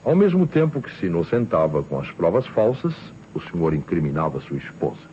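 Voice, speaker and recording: Self-assured inspector, Brazilian accent, deep swooping delivery, theatrical radio drama, muffled old recording. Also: male voice, very animated, brisk, mellow, slight background noise. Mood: insistent, triumphant. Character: shrewd, self-assured male police inspector, theatrical and insistent. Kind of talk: 1960s Brazilian radio mystery acting.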